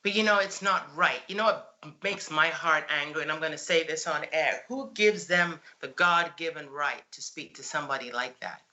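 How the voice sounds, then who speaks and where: A woman's voice: low-pitched, normal speed, few pauses, pushed and bright, low-quality recording. A woman hosting a talk show, speaking in an outspoken, emphatic way. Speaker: host. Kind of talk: radio talk show conversation.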